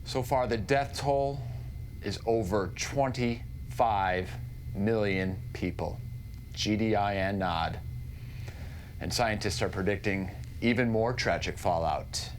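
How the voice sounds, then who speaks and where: Casting: serious news anchor for game cutscenes, formal projected delivery, lower-pitched voice. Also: male voice, slow pace, a little hiss or hum. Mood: grave. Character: sober male TV news anchor reporting on war.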